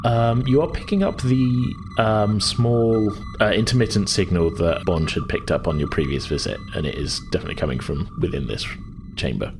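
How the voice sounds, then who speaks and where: Man, low voice, tabletop narration, loose hesitant phrasing, game master, faint ambience behind. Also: moderate pace, background noise.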